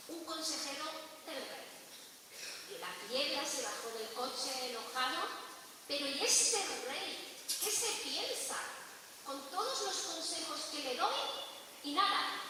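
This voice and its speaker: A woman with a Spanish accent from Spain gives a public speech as a storyteller, phrasing deliberately and emphatically. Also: high-pitched. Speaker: politician giving a speech. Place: large reverberant hall at a public event. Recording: very echoey noisy hall, distant microphone.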